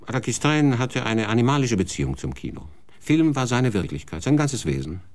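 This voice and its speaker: A man's voice: medium pitch, sing-song, fairly quick, natural voice. An actor playing a world-weary male TV executive in a radio drama, blunt and sardonic.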